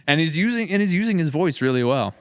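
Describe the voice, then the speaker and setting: A man's voice: on the high side, animated, quick pace, clear, resonant tone. A man hosting a casual podcast chat, talking in an informal, enthusiastic way. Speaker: podcast host.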